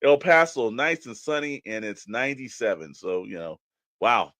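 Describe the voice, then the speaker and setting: A man's voice: mid-range voice, sing-song, normal speed, a bit bright, no room sound. A man co-hosting a radio-style show in a folksy, rambling, chatty way, with casual joking delivery into a close mic. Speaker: co-host reading weather segment.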